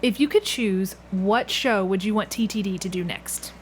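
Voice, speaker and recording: A chatty woman hosts a casual interview in an easy conversational way, laughing readily. Her voice sits at a medium pitch, with some background noise around it. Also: moderate pace. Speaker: podcast host interviewer. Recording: clearly audible background room noise.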